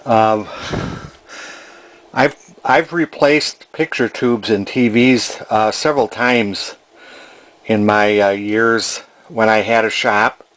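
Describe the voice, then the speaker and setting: Man, 60s, low voice, hesitant and rambling, casual workshop host talking from behind the camera. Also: steady tone, slow, fairly bright tone. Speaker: vintage TV restorer, video host. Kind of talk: casual spoken workbench vlog. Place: cluttered indoor home workshop.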